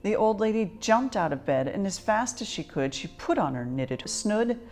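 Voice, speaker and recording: A woman storyteller reading a children's storybook expressively, in a measured, articulate way. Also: mid-range voice, sing-song, a little mellow.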